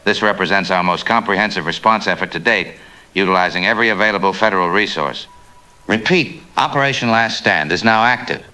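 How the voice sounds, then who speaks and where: A man playing a grave government official making a formal, measured emergency announcement in a radio drama. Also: low voice, pushed and bright, smooth. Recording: noisy emergency radio transmission.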